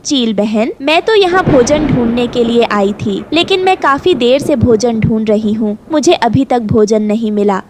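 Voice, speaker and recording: A woman's voice: a little high, expressive, normal speed, few pauses, noisy background, close to an everyday voice. A woman playing a worried, weary expectant mother bird in a cartoon story, polite, pleading and fretful. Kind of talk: animated moral story dub.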